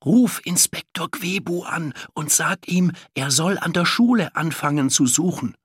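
A man's voice: fairly high pitch, animated, measured pace, husky, no room sound, clean studio sound, pitched above the performer's own voice, heavily acted. A man voicing a brave young boy who makes urgent, secretive plans in a children's audiobook. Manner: hushed but urgent.

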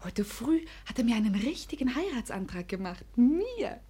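An actress playing a spirited, wilful young gentleman's daughter in a radio comedy, coy and playful. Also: a little high, sing-song, moderate pace, husky. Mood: excited, confiding. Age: young adult.

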